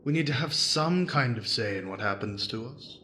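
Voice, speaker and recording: A man's voice: medium pitch, lively intonation, moderate pace, pushed and bright, slight background noise. A man playing a scheming, persuasive pony agitator in a fan radio drama, with rhetorical delivery.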